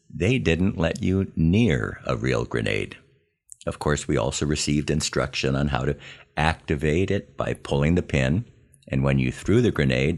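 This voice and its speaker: Very deep, gravelly older American man. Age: 70s. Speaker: podcast host telling his army memories. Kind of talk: scripted first-person storytelling narration.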